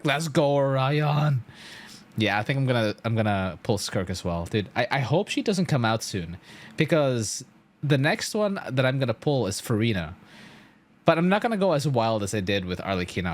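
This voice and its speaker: A young man live-streaming a game, chatting casually with lots of slang, swearing and animated reactions. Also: medium pitch.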